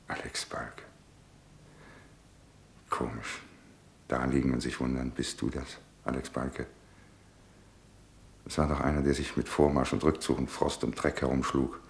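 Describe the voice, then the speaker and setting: An actor playing a distraught, brooding father in a German radio drama, halting and ruminating with bitter irony. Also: rumbling low, vocal fry, heavily acted. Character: distraught, brooding father awaiting news of his son. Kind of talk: German radio drama acting with inner monologue.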